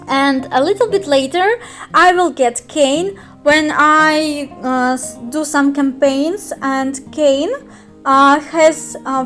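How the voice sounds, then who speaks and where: High-voiced Russian-accented woman explaining a game. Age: young adult. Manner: careful, hesitant, frequent fillers. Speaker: game guide youtuber. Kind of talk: screen-recorded game tutorial.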